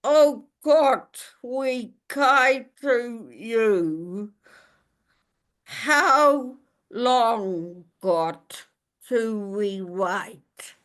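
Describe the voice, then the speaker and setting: A woman reading a poem of lament in short, halting, broken phrases with long pauses. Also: a little high, expressive, fairly bright tone. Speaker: poet reading own work. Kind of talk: poetry reading, lament addressed to God.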